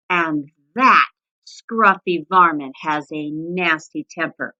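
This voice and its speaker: A woman's voice: on the low side, pushed and bright, dull audio, heavily acted. A woman voicing a storybook parent rabbit, earnest and instructive as if giving a warning.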